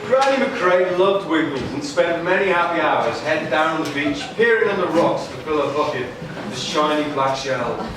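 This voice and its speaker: High-voiced male storyteller in an echoey hall. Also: animated, normal speed. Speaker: puppet show narrator. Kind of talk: comic scripted storytelling to a live audience. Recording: echoey noisy hall, recorded from far off.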